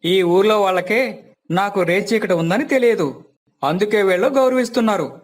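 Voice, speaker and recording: A man's voice: high voice, moderate pace, close to an everyday voice. A man voicing an earnest village strongman who bluffs about his powers in a story. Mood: worried.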